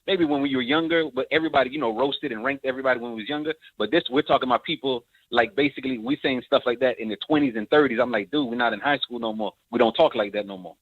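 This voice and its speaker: A man's voice: medium pitch, no room sound. A man calling in to a radio show, rambling and leaning heavily on "like". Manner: rambling, full of "like". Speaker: caller. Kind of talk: phone-in talk. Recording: dry, tinny phone line.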